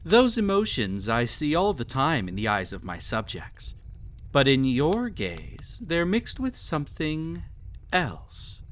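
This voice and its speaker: Man acting slow, haughty, cruel emperor. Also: on the high side, huge pitch swings, a bit bright, slight background noise, exaggerated voice. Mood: haughty amusement. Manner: haughty, teasing, drawn-out theatrical phrasing. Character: cruel, possessive, vain emperor toying with a captive. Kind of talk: intimate dramatic audio roleplay.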